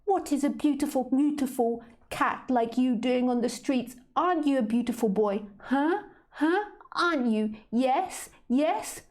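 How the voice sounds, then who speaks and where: A woman voicing a tipsy lady who gushes at a cat in high, squeaky, slurred baby talk. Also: a little slow, a little mellow. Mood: gushing. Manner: slurring, gushing, sing-song. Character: tipsy middle-aged woman cooing at a cat.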